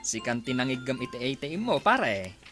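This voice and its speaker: An actress with an Ilocano accent playing a chatty, nosy village friend in a radio comedy drama. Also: female voice, rumbling low, sing-song, fairly quick, music in the background. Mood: chatty teasing.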